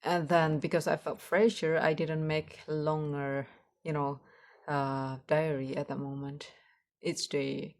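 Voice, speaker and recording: A woman with a low voice and an Indonesian accent rambles casually, as if practicing her speaking for a vlog. She speaks haltingly, searching for the right word and circling back over her phrases. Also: flat delivery. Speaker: vlogger.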